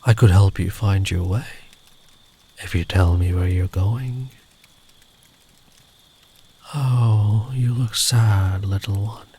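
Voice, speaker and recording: A man playing a big, kind traveller in the woods in an ASMR comfort roleplay, speaking gently and soothingly, often close to a whisper. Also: fairly low pitch, steady tone, takes it slowly, long pauses. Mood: gentle, welcoming. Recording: clear background ambient noise.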